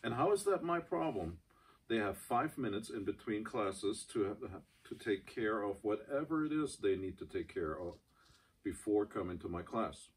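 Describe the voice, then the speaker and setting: A man reading the part of a gruff, irritable, callous teacher in an amateur script reading, very raspy and away from the mic. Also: lowish voice, animated, moderate pace. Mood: irritated. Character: gruff, callous, irritable male schoolteacher Mr. Guilford. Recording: distant microphone.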